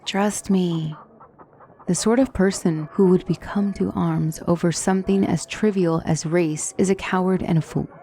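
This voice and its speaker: A woman playing a poised, formal demonic tutor in a fantasy ASMR roleplay, speaking as a wise and gently reassuring mentor with measured, courtly phrasing. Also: fairly low pitch, fairly even intonation, a little hiss or hum. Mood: reassuring, instructive. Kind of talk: ASMR fantasy roleplay, scripted character acting.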